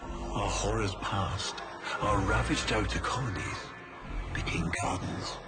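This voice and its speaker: An actor playing a grim, grandiose creator in a video game cutscene, speaking a solemn monologue with theatrical, deliberate pauses. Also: male voice, fairly low pitch, over loud music. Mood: grave, ominous. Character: grim, grandiose male scientist-creator recounting dark creations.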